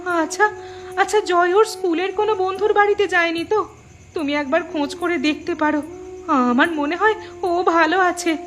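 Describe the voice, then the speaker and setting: Woman as a very high-pitched, anxious village mother. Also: normal speed, fairly bright tone, soft background music. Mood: worried. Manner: fretful, lamenting. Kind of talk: cartoon melodrama acting.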